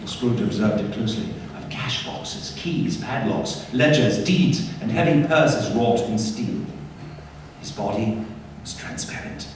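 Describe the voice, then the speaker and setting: A man acting as narrator of a staged radio play, telling a ghost story with suspenseful, deliberate phrasing. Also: mid-range voice, a little mellow, close to an everyday voice. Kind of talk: dramatic scripted narration. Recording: echoey hall, distant mic, faint noise.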